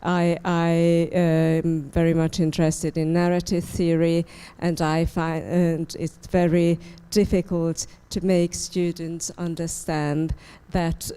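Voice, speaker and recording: A woman literary critic speaking on a panel, measured and hesitant, with fillers and repeated words. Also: low-pitched, mellow, smooth. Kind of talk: panel discussion.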